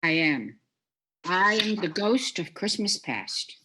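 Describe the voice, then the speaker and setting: A woman playing a calm, gentle but commanding spirit in a radio play, with a measured, solemn delivery. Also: on the low side, breathy edge, heavily acted.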